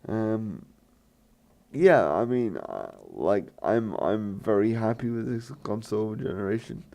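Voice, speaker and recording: Deep croaky man, rambling gamer talk. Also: lively intonation, a little slow, mellow. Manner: hesitant, many fillers and restarts. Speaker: gaming channel host. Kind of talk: rambling let's play commentary.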